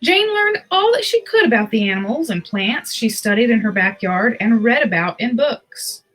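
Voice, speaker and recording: Female storybook reader, high husky voice, expressive and unhurried, chatty between passages. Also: poor audio. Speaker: host of a picture book read-aloud. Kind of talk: storybook read-aloud with casual asides.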